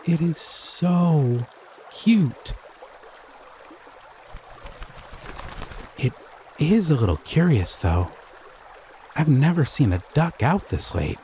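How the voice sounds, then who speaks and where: A man voicing a gentle, curious male slime creature in an audio roleplay; he is soft-spoken, easily delighted and chuckles often. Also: fairly high pitch, sing-song, slow, slight background noise. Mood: musing.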